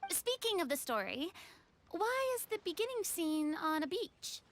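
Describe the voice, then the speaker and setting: A woman's voice: very high-pitched, very animated, a little slow, a bit bright, slight background noise, heavily acted. A woman playing a cautious young noblewoman in a video game, speaking hesitantly and stammering a little as she worries.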